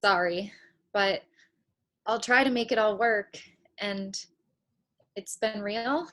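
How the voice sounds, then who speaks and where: Woman acting a determined young woman, unhurried delivery. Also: medium pitch, fairly bright tone. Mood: apologetic. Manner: deliberate, resolute. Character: determined, hopeful young woman, an aspiring writer. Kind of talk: comedic virtual table read acting.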